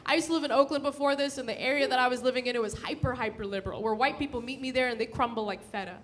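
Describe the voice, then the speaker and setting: A woman's voice: sits high, racing. A young American woman performing stand-up comedy in a casual, slangy, profane way, acting out bits of dialogue. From grew up in San Diego, USA. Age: young adult. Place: live comedy show before an audience. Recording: live show with loud audience noise.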